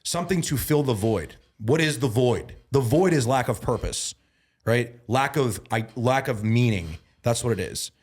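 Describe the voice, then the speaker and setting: A man in his thirties talking as a guest on a casual podcast. He sounds earnest, repeats himself and restarts a lot, and grows emphatic and louder when he starts preaching. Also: mid-range voice, expressive, normal speed, husky. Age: 30s. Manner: repeats words and restarts often. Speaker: guest, bodybuilding and mindset coach.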